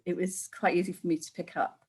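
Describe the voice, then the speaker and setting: A woman's voice: fairly low pitch, little pitch movement, quick pace, darkish tone, slightly rough. A middle-aged woman from Wales, a guest who chats casually, laughs often and acts out the voices she quotes. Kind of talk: casual conversational interview.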